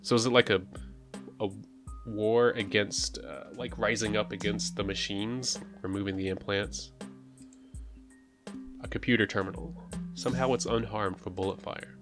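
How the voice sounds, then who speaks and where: A man's voice: lowish voice, steady tone, normal speed, pauses often. A man giving casual game playthrough commentary, musing aloud with frequent questions and reading text off the screen. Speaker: host of a game playthrough channel. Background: music.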